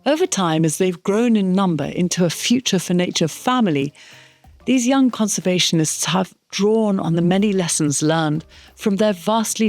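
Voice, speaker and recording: A narrator reading a scripted podcast introduction in a measured, polished way. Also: medium pitch, a little mellow, no echo.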